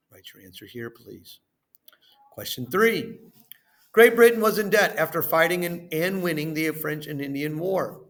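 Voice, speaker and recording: A man reading a lesson and worksheet questions aloud as a teacher, in a deliberate, instructional way, stressing phrase openings and trailing off at the ends. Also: fairly high pitch, fairly bright tone.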